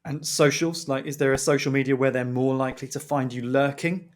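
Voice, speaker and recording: A man's voice: medium pitch, fairly even intonation, brisk. A man co-hosting a podcast, talking in a casual, conversational style. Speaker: podcast co-host and writer.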